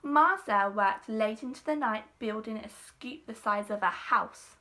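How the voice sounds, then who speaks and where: Bright-voiced woman reading a children's story. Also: on the high side, a little slow, slight background noise.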